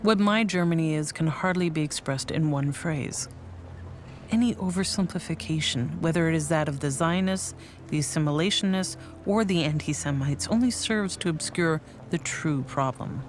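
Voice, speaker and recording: A woman voicing a young woman scholar, reading formal letters in a measured, thoughtful way for a documentary. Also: sits low, a little hiss or hum, close to an everyday voice. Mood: firm. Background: faint music.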